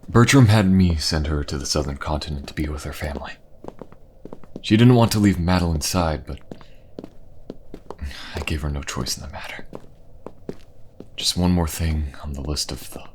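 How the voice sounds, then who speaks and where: A man's voice: extremely low pitch, normal speed, long pauses, a little hiss or hum. A man playing a grave, noble ruler and loving father in a fantasy audio roleplay, speaking earnestly and with feeling. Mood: remorseful.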